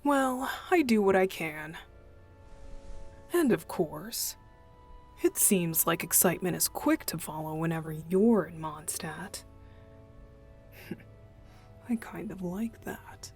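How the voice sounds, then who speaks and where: A woman voicing a playful, mischievous young male deacon in an unhurried, teasing ASMR roleplay style. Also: fairly low pitch, lively intonation, a little mellow, faint music behind. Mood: playful, confiding. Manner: teasing, unhurried, chuckling, breathy asides.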